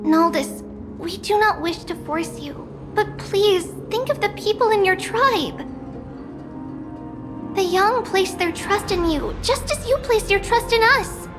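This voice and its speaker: A woman voicing an ancient high priest in a young girl's body, grave and formal, speaking of herself as "we". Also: animated, slow, over music, exaggerated voice. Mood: pleading.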